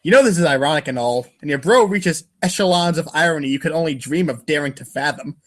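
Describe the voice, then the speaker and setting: A man's voice: fairly high pitch, lively intonation, fast talker, fairly bright tone. A man narrating a webcomic aloud in an informal, dramatic second-person style. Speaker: reader of a webcomic read-aloud show.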